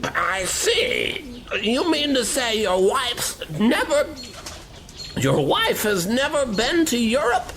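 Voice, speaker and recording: Someone doing a slow, romantic old codger. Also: mid-range voice, big pitch swings, a bit bright. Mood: wistful, hesitant. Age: older. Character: romantic old man with pigeons in park. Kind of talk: comic radio play acting. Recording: very noisy, birds cooing behind.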